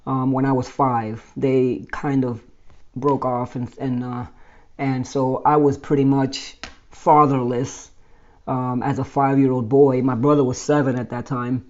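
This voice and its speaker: A man's voice: medium pitch, mellow, dull audio. A man in his forties from Brooklyn, New York, casually telling his life story with many fillers and restarts. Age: 40s.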